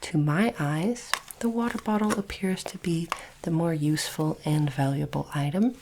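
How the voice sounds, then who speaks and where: A polite alien appraiser, played by a woman, speaks in a low, breathy whisper. The delivery is slow, measured and formal, each word placed with care in an ASMR roleplay style. A clear background hiss and small clicks sit behind the voice. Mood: calm, approving. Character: polite, formal alien artifact appraiser training a human recruit.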